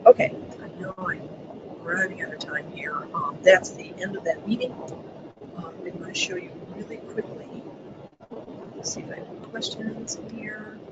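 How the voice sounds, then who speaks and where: A webinar presenter walking listeners through training materials, with a soft voice that does not carry, pausing often with ums. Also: mid-range voice. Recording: loud background noise on a webinar mic.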